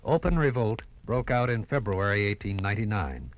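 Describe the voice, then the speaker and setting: A man narrating a 1950s radio history program in a measured, dramatic way. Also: on the low side, lively intonation, background noise.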